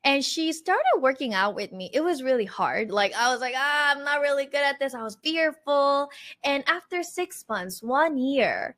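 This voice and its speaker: A woman in her thirties leads an online language masterclass, her voice sitting a little high and swinging widely in pitch. She talks in an animated, encouraging way and acts out her own past words. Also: normal speed. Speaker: Korean language teacher.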